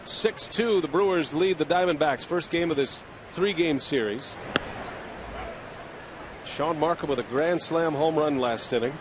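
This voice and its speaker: Man, baseball announcer, fairly high voice, steady game calling, clear background noise. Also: measured pace. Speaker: play-by-play announcer. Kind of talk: baseball play-by-play commentary.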